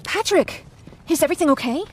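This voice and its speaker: A woman's voice: sits high, lively intonation, a little fast, a little hiss or hum. An actress playing a compassionate, outspoken woman of the resistance in a video game. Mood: urgent, compassionate.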